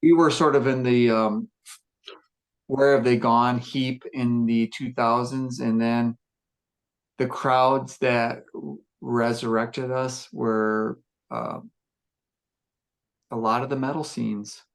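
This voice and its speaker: Gruff male musician, mid-pitched, unhurried podcast storyteller who circles back and restarts. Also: fairly even intonation. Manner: reflective, rambling storytelling with fillers and restarts. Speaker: musician discussing his band. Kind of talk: casual podcast chat.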